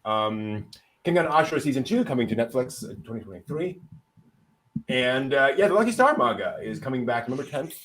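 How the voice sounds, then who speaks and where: A man's voice: mid-range voice, expressive, brisk, a crowd in the background, poor audio. Casual, conversational man hosting news. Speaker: host presenting anime news. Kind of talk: casual news rundown with co-hosts.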